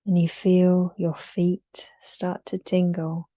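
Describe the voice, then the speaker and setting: A woman guides a meditation in a mellow, relaxed voice. She speaks extremely slowly, in short soothing phrases that trail softly into breath, with long pauses between them. The sound is dry, with very little room around her. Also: fairly low pitch, no room sound.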